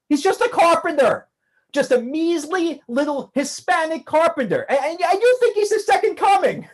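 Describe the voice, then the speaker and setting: A woman's voice: fairly high pitch, a little mellow. A woman playing a defiant adult son who rants sarcastically. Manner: ranting, sarcastic, confrontational.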